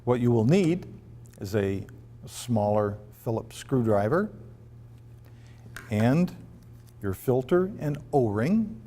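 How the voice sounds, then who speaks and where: A man giving a step-by-step instructional demonstration in a deliberate, phrase-by-phrase way with firm instructions. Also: mid-range voice, very animated, dark tone. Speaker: product demonstrator. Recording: long reverberant room echo.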